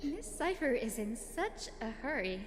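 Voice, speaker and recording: A woman voicing a gentle, earnest young healer in a fantasy game, courteous and sincere. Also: high voice, sing-song, a little slow, background noise. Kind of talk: voice acting for an anime-style game. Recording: reverberant game audio under clear music.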